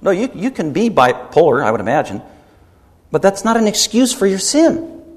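A man's voice: high voice, sing-song, moderate pace, in a large hall. A man preaching a sermon as pastor, emphatic and rebuking, driving points home by repeating them.

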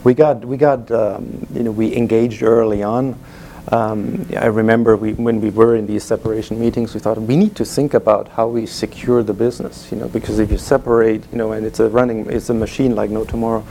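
A man's voice: on the low side, little pitch movement, a little fast, dark tone, a little hiss or hum, poor audio. A middle-aged man from Germany with a German accent, speaking casually onstage to a live audience as guest speaker, with many "you know" fillers. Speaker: guest speaker, sales executive. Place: event room before a live audience.

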